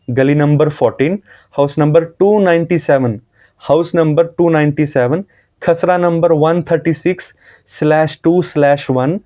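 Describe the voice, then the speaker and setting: A man's voice: on the high side, mellow, muffled recording. A man from Jammu with a North Indian accent, earnestly explaining and warning viewers in an informal way, repeating himself for emphasis. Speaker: video host.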